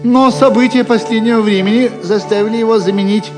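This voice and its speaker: A man's voice: high-pitched, steady tone, fairly quick. A man giving dramatic scripted narration for an audio drama. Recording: long reverberant hall sound. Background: faint music.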